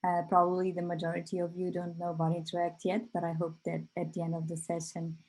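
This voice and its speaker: A woman presents over a video call, and her voice sits a little low and mellow. She pauses often on filler sounds between her phrases, and her delivery is steady and explanatory rather than polished. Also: poor audio. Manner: hesitant, frequent filler pauses. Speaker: company presenter. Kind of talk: online webinar presentation.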